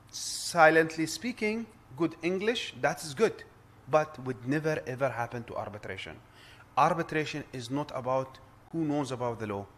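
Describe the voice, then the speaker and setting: A man's voice: medium pitch, a little hiss or hum. A man with an Arabic accent giving an earnest conference address, often repeating words and restarting sentences. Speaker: conference speaker, arbitration lawyer. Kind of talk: formal address at a round table.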